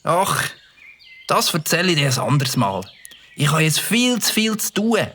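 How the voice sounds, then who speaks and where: Earnest, high-voiced young schoolboy. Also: male voice, big pitch swings, moderate pace, bright, forceful tone, breathy edge, heavily acted. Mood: hurried. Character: young schoolboy, earnest, sheepish and good-hearted. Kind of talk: children's audio drama acting.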